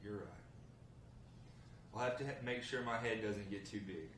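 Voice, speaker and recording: A man's voice: fairly low pitch, moderate pace, fairly bright tone, close to an everyday voice. A man reading the part of a confident, defensive husband who is joining a gang, in a drama script table read. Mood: sober, resolved. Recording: echoey room, distant mic, faint noise.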